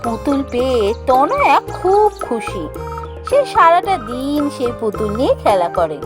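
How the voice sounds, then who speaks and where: Casting: female fairy-tale narrator, high-pitched and animated, reading scripted story lines over clear background music. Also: measured pace.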